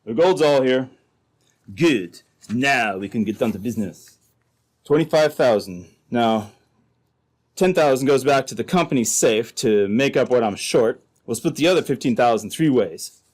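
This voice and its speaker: A man's voice: medium pitch, animated, moderate pace, a little mellow, lightly acted. A man playing a crooked, hard-bitten trading company man in an old-time radio drama, blunt and insistent. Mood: businesslike.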